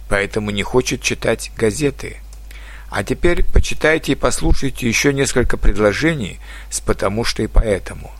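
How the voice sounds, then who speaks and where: A man teaching a grammar lesson to foreign learners, reading example sentences slowly and carefully and letting his pitch fall at the end of each example. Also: no echo. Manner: slow, careful dictation-like delivery. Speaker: Russian language teacher.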